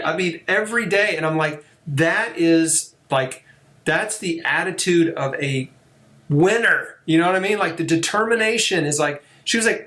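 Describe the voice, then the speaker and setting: Enthusiastic man hosting an interview. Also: sits high. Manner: enthusiastic, animated storytelling, frequent "you know" and "right?". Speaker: host. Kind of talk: casual interview conversation.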